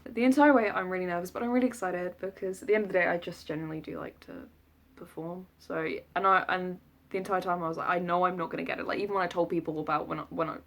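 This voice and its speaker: A woman's voice: on the low side, steady tone, quick pace, vocal fry, a bit bright. A woman in her twenties with a southern English accent, chatting casually to camera in a rambling, self-deprecating, jokey way. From south of England. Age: 20s. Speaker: vlogger recounting an audition. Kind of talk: casual rambling vlog chat.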